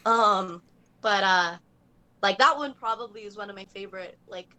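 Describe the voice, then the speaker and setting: Bubbly young woman cosplayer chatting away, says like constantly, bright punchy voice. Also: mid-range voice, normal speed. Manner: bubbly, gushing, fills speech with "like". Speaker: cosplayer guest. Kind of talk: casual podcast chat.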